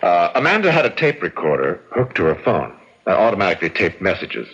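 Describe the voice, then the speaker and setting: A dull old radio recording carries a calculating, indignant brother-in-law. His voice is low and husky and swings widely as he makes his emphatic, theatrical case in a mystery drama. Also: sing-song, brisk, fairly bright tone, full character voice. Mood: aggrieved, self-justifying. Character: smooth, calculating, aggrieved brother-in-law suspected of murder.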